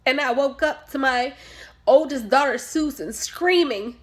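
A woman's voice: sits high, measured pace. A woman performing a dramatic monologue as a grieving, defensive mother, speaking in emphatic, pointed phrases. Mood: distraught. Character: grieving, defensive mother questioned about her sanity.

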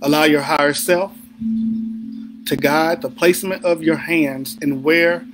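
A man guiding a sleep meditation in a soothing, deliberate manner with unhurried pauses. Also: a little high, fairly even intonation. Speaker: meditation guide. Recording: background music under the voice. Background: music.

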